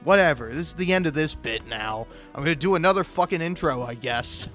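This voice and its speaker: A man doing a rambling, casual comedic monologue, halting and profane, often stammering and cutting himself off. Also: fairly high pitch, lively intonation, fairly bright tone, soft background music. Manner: profane, halting, self-interrupting with stammered repeats; chuckles often while talking. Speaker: host of a comedic video.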